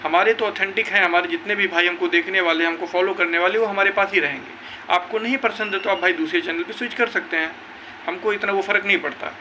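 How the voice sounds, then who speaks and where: Bright, high-voiced young man, insistent. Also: fairly even intonation, fast talker, a little hiss or hum, rough recording. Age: young adult. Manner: emphatic, insistent, repetitive. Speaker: exam-news video creator. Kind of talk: informal update to viewers, recounting a meeting.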